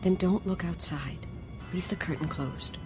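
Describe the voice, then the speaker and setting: A woman's voice: lowish voice, measured pace, lightly acted. A woman playing a practical, coaxing but insistent wife in a radio drama. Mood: insistent, fussing. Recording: dramatic music behind the voice.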